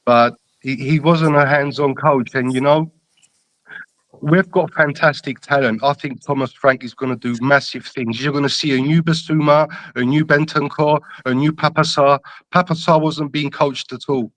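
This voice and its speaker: A man with a North London accent tinged with Greek Cypriot and slight Australian, giving emphatic, deliberate opinions as a caller in casual football chat. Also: fairly high pitch, fairly even intonation, fairly bright tone, no echo, low-quality recording. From London, England. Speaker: caller to a football fan show.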